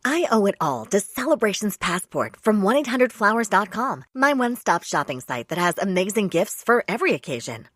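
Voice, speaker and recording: A woman's voice: medium pitch, very animated, a little fast, hardly any pauses, no room sound, studio quality. A woman reading a scripted first-person testimonial as an advertisement voice-over.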